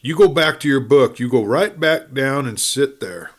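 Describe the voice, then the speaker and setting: A man's voice: mid-range voice, lively intonation, normal speed, pitched above the performer's own voice, lightly acted. A man voicing a worried, weathered old rancher father in a western audiobook, urgent and folksy, demanding yet tender. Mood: fearful, demanding. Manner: urgent, demanding, folksy cowboy drawl wording.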